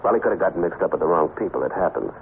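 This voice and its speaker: An actor playing a tough, wisecracking American private detective in a 1950s radio drama, with clipped, sardonic, slangy delivery. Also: male voice, low-pitched, animated, racing. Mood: hard, pressing. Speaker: lead detective and narrator. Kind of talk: 1950s hardboiled radio drama acting and narration. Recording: noisy, muffled 1950s radio recording.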